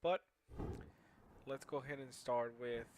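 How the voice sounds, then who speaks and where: A young man whose first language is Spanish, giving a class presentation in a halting way with many fillers and restarts. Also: mid-range voice, darkish tone, slight background noise. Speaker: student presenter.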